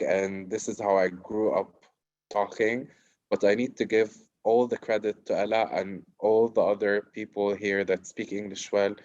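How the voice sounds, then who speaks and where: Panelist, man from Cyprus, low voice, reflective and plain-spoken, dull lo-fi call sound. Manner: reflective, plain-spoken, frequent "you know". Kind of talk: casual conversational answer. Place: at home in Gaza.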